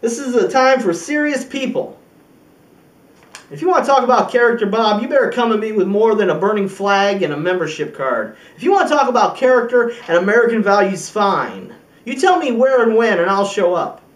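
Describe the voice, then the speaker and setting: A high-pitched male voice delivers a resolute presidential speech as an amateur actor's monologue. He is emphatic and indignant, pausing deliberately for weight. The sound is roomy and distant from the microphone. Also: expressive. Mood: defiant. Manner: emphatic oratory with deliberate pauses and rhetorical questions. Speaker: amateur acting student. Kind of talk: rehearsed film monologue as a political speech.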